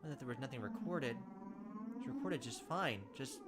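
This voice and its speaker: A man reading a visual novel's text aloud as a let's play narrator, dramatic, with quick asides of his own. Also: fairly high pitch, very animated, heavy background noise.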